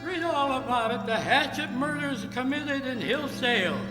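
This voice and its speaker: A man's voice: very high voice, expressive, moderate pace, over music. A male actor playing a newsboy calling out on the street, in an echoey old radio drama. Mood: urgent hawking. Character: shouting street newsboy hawking papers. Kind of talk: 1940s radio drama acting.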